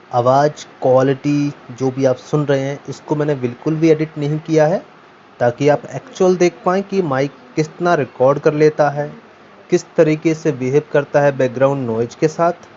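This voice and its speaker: A man's voice: a little high, moderate pace, darkish tone, muffled recording. A man in a casual product-test talk to viewers, explaining step by step and repeating his points. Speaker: tech reviewer. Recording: noisy amateur recording with ambient background noise.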